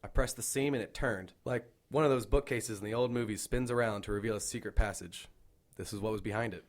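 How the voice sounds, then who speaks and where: A man's voice: fairly low pitch, fast, close to an everyday voice. Earnest young rookie cop played by a man. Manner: earnest, matter-of-fact. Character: earnest, observant young male rookie policeman. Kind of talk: radio drama acting.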